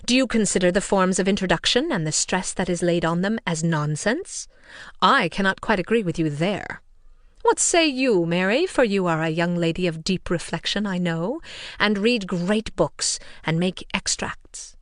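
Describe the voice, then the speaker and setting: A woman voicing a dry, ironic old gentleman who teases his family in measured tones. The pitch still moves lively under the irony, close and dry in a storybook reading. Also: no room sound, lightly acted. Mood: teasing. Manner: wry, measured, teasing. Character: dry, teasing, ironic older gentleman father.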